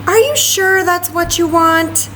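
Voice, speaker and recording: A woman's voice: extremely high pitch, measured pace, pushed and bright, slight background noise, pitched above the performer's own voice, full character voice. Woman as a patient, doubtful storybook mom. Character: patient, doubtful mother questioning her young daughter.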